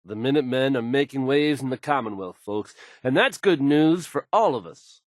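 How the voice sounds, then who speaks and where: A man's voice: medium pitch, lively intonation, moderate pace, no room sound, studio quality, close to an everyday voice. Polished, confident radio DJ, played by a man. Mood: upbeat, confident. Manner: assured, polished, fluent, folksy address to listeners. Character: confident, smooth, folksy male radio DJ delivering news. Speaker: radio news announcer. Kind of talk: video game voice acting, radio news broadcast.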